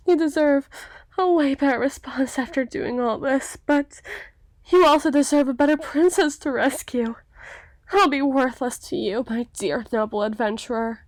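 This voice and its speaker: A woman's voice: sits high, darkish tone, no room sound. A woman playing a young, melancholy, self-doubting storybook damsel in an ASMR fantasy roleplay, with theatrical, hesitant, old-fashioned formal phrasing. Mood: tearful, unworthy.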